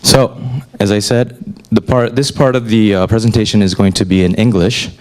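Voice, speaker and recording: A man in his forties, raised in North America, gives a relaxed, conversational lecture to students and often pauses on "uh". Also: on the low side. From Korea, raised in North America. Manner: relaxed and conversational, with frequent "uh" fillers. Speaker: newspaper chief editor giving a talk. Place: lecture hall.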